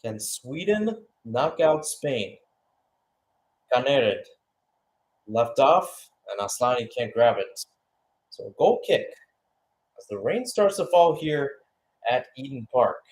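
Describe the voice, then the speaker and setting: A man with a medium-pitched voice commentates live on a football match in radio play-by-play style. He moves between calling the action and loose, conversational analysis, sometimes hesitating or correcting himself as he goes. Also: very animated, takes it slowly, pauses often.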